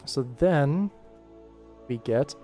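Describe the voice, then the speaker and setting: A man's voice: fairly high pitch, lively intonation, drawn-out words, dark tone. A man giving casual livestream commentary as an animation director, thinking aloud and explaining, with music behind him. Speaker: animation director streaming his work.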